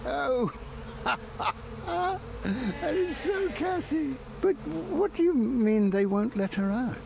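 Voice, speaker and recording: A man's voice: very high voice, animated, slow, vocal fry, noisy background, exaggerated voice. A man voicing a nervous, fussy, emotional chef in a cartoon video game, with a hesitant and dramatic way of talking. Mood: fussy, eager.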